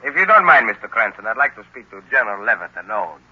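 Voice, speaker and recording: A man's voice: lowish voice, sing-song, fast, husky. A man playing a sinister, formally polite villain professor in old-time radio drama, controlled and menacing. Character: smooth-mannered, sinister professor villain, a murderous hypnotist. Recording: muffled old radio recording.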